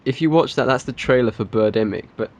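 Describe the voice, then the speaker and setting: A man's voice: medium pitch, quick pace, darkish tone. A man hosting a podcast in a casual, conversational style. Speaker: podcast host.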